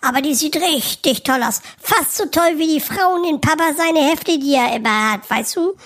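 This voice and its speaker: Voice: high-pitched, normal speed. Eine Sprecherin spielt in einem Comedy-Sketch einen frechen, angeberischen sechsjährigen Jungen, der plappert und vor Aufregung stottert. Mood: schwärmend. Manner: chatty, cheeky, stammers when excited. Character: cheeky boastful six-year-old boy.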